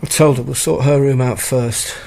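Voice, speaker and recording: An actor in a radio drama playing a casual, joking husband, a little vague and hesitant. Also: male voice, mid-range voice, fairly even intonation, normal speed, creaky, a little mellow, close to an everyday voice. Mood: easygoing. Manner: casual, hesitant, light-hearted. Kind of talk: naturalistic radio drama acting.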